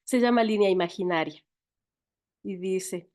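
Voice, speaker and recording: A woman's voice: medium pitch, unhurried, darkish tone. A woman from Ecuador with an Ecuadorian accent, speaking conversationally as a guest writer and educator in an interview.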